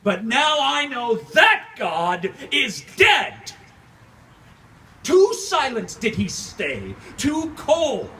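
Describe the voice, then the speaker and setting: A man's voice: very high voice, wildly swooping pitch, very slow, pushed and bright, voice pushed up, exaggerated voice. A man with a Californian accent performing a dramatic monologue as a grieving, embittered centuries-old vampire count, theatrical and declamatory in archaic poetic phrasing. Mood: anguished, raging. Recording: distant live room recording, faint noise.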